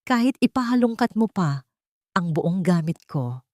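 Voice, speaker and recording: A woman's voice: lowish voice, lively intonation, a little slow, a little mellow, no echo, studio quality. A woman voicing a meek older housemaid, weak, pleading and submissive, in a dramatic story. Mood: pleading, hurt.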